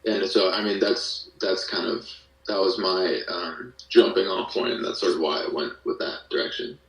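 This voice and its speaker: A man chatting casually as an interview guest, explaining his creative work in a halting but enthusiastic way. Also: deep voice, fairly bright tone. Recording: roomy, distant mic, faint music.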